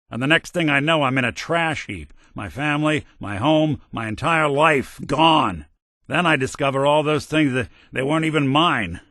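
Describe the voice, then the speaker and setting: A man's voice: mid-range voice, fairly bright tone, no room sound, studio quality, close to an everyday voice. An actor voicing a world-weary male synth detective in a video game, a robot carrying an old cop's memories, talking in a hard-boiled drawl. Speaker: companion character.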